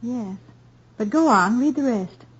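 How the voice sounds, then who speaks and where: An affectionate wife who teases her husband softly, in a fairly high, husky and breathy voice. The sound is dull and lo-fi, like an old radio play. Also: animated, unhurried, heavily acted. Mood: tender. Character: affectionate, teasing wife of the professor. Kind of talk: 1950s radio drama acting.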